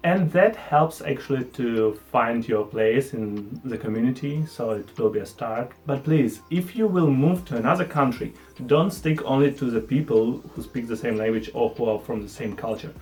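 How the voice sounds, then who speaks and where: A man's voice: mid-range voice, moderate pace, hardly any pauses, a little mellow. Chatty young man with a Ukrainian accent. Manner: chatty, self-deprecating, slightly non-native phrasing. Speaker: vlogger. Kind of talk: casual talking-head vlog.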